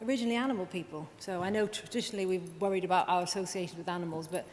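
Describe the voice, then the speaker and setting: A woman speech and language therapist giving a chatty conference presentation, full of quick asides and self-deprecating remarks. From Wales. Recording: reverberant hall with faint rumble.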